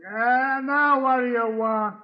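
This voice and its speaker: Crabby, very high-pitched neighbor. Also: male voice, steady tone, slow. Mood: grouchy, exasperated. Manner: whining, sarcastic. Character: crabby, sleep-deprived male neighbor, sarcastic grouch. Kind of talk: 1940s radio comedy-drama acting. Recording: dull old radio recording.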